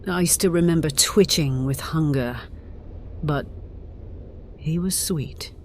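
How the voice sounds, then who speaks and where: A woman playing a centuries-old, conflicted vampire, narrating gravely in a measured, theatrical way. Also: deep voice, slight background noise. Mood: brooding. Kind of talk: dramatic scripted narration. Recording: dramatic music behind the voice.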